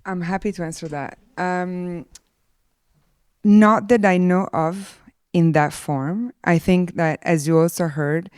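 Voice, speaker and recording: Woman panelist, lowish smooth voice, dry sound, calm and deliberate. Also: no echo. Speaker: panel speaker. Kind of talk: panel answer.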